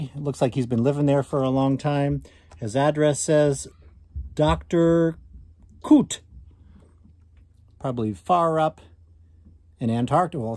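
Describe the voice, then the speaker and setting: A man's voice: a little high, a little mellow, no echo. A man in his thirties giving a conversational read-aloud lesson, asking listeners questions as a teacher. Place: small indoor room at home.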